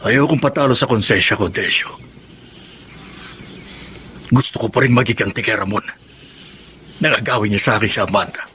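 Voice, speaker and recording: A man's voice: fairly low pitch, moderate pace, long pauses, sharp tone, slight background noise. An actor with a Filipino accent playing a wronged, brooding middle-aged man in a radio melodrama.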